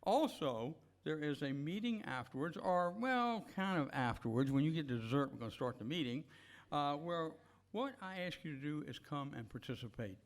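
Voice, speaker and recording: A man's voice: fairly high pitch, lively intonation, normal speed. A man leading a church service, giving blessings and announcements in an earnest, unscripted, encouraging way.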